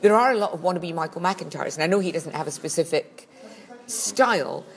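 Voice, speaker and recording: Loud, grumpy woman co-host with a lower voice, bickering on a phone-recorded podcast. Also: lively intonation, a little fast, gravelly. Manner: blunt, grumpy and sardonic, loud. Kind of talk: bickering casual podcast chat. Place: busy charity shop. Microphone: phone held up by co-host.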